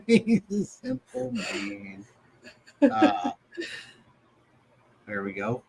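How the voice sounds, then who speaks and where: A chatty man hosting a livestream over a video call, with a fairly high voice. His pitch jumps around a lot as he jokes and laughs, and his tone stays friendly and loose throughout. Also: wildly swooping pitch, extremely slow, creaky, long pauses, sharp tone, low-quality recording. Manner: jokey, laughs often, chatty. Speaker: livestream host. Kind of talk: casual livestream chat.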